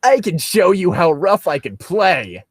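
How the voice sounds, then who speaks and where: Man doing a slow, sneering evil villain. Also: sits high, sing-song, breathy edge, dry recording, clean studio sound, voice pushed up, full character voice. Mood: evil taunting. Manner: slow, drawling taunts. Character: sneering evil dark doppelganger villain swordsman, menacing.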